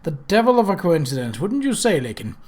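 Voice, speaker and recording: A man's voice: high-pitched, big pitch swings, fairly quick, a little mellow, slightly rough, voice pushed up, full character voice. A man puts on a sardonic country doctor for a storybook. He is brusque and commanding, stressing his old-fashioned phrases with emphatic swings.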